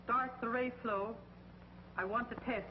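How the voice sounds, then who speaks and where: A woman's voice: on the high side, fairly even intonation, measured pace, heavy background noise, natural voice. Siderine, a woman playing a cold alien tyrant. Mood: commanding. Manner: commanding, gloating. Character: power-hungry female alien ruler, cold and domineering. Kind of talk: 1950s TV sci-fi acting. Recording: dull old 1950s TV soundtrack, loud music behind.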